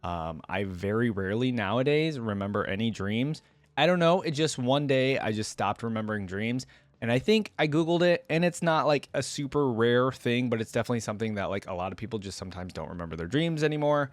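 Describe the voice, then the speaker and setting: A man's voice: mid-range voice, animated, brisk, no room sound. A young man hosting a reaction video, reading story text aloud and commenting in an informal, musing way.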